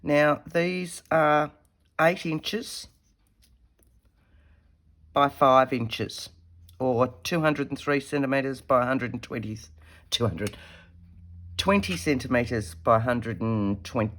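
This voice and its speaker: A woman, slightly croaky from a head cold, chatting casually as she demonstrates a paper craft while working with her hands. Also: rumbling low, takes it slowly, pauses often. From Australia. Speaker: craft tutorial host.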